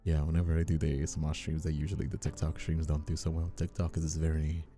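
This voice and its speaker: A soft-spoken man chatting casually on a livestream as the host, talking in a hesitant, rambling, reassuring way close to the mic. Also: very deep voice, monotone, fast. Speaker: streamer and ASMR voice actor hosting a live stream. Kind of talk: casual livestream chat with ASMR calm. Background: soft instrumental music.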